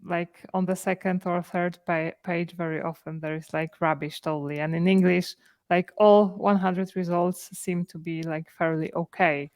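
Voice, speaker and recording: A woman's voice: fairly low pitch, fairly even intonation, normal speed, darkish tone. A woman with a Polish accent hosting a video call interview, asking questions in chatty, loosely phrased speech full of fillers. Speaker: interview host.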